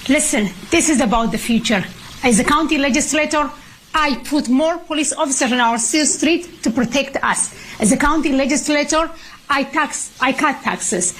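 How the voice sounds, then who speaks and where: A woman's voice: high voice, moderate pace, a little hiss or hum, poor audio. A woman giving a debate speech, hammering repeated campaign lines. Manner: repetitive, forceful campaign lines. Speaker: candidate in debate clip.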